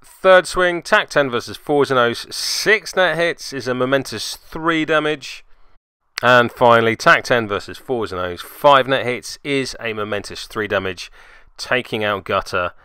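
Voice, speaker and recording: A man's voice: medium pitch, lively intonation, unhurried, pushed and bright, smooth, no echo, clean studio sound. A man giving casual commentary as host of a tabletop game report, with a wry, self-deprecating manner.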